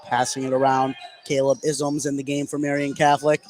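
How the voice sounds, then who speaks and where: A man calling live high school basketball on the radio as play-by-play commentator, getting excited on big plays. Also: brisk. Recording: gym noise behind the voice. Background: gym ambience, bouncing basketball.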